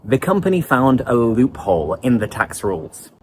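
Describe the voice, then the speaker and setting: Male English teacher, online lesson, lively and explanatory, pitch swings widely. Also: normal speed, a little hiss or hum.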